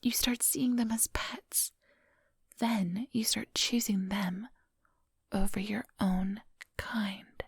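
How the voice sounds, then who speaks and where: Casting: woman to play a controlling vampire seductress for ASMR audio drama, unhurried, heavily whispered, coaxing yet commanding. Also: medium pitch, steady tone, no echo, full character voice. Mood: scornful, probing. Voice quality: breathy whisper. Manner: slow, condescending, coaxing, commanding. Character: menacing female vampire, coolly hypnotic and controlling. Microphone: close, dry microphone.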